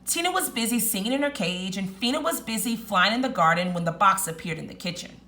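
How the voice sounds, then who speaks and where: A woman teacher reading a children's story aloud in an expressive, deliberate, emphatic storytelling manner. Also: medium pitch, slight background noise.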